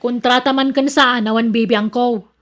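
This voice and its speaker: A woman's voice: on the high side, fairly even intonation, normal speed, fairly bright tone, natural voice. A woman with an Ilocano accent playing a jealous, nagging wife in a radio drama, accusing and sarcastic. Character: jealous, nagging, suspicious wife of a working man.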